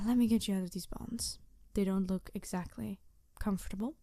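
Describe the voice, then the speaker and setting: A woman playing a snarky, passionate supervillain who is secretly tender, in a halting, sardonic ASMR audio roleplay. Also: mid-range voice, fairly even intonation, a little mellow, no echo, studio quality, lightly acted. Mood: soft, teasing. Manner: halting, sighing, emotionally candid, sardonic.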